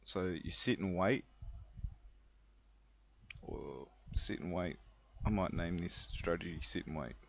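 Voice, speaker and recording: A man giving casual commentary as he explains his own gameplay, thinking aloud in an unhurried way with pauses. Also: low-pitched, vocal fry. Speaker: online roulette player explaining a betting strategy. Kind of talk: casual screen-recorded commentary.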